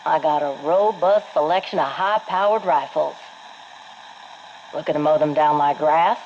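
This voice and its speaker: An actress playing a brash, folksy Marine quartermaster with an American accent, delivering sassy, salty patter for a game. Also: female voice, low-pitched, moderate pace, darkish tone. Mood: brash, cocky. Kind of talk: scripted game monologue. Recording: dull, noisy radio log.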